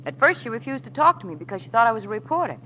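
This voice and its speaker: A woman's voice: fairly high pitch, sing-song, racing, husky. An actress playing an eager, plucky society lady in a 1940s radio drama, chatty and pleading. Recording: dull, faintly noisy vintage 1940s radio recording.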